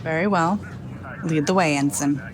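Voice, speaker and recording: A woman's voice: low-pitched, moderate pace, a little hiss or hum, close to an everyday voice. A woman playing a firm, no-nonsense Coast Guard captain in an audio drama, authoritative and clipped. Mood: composed.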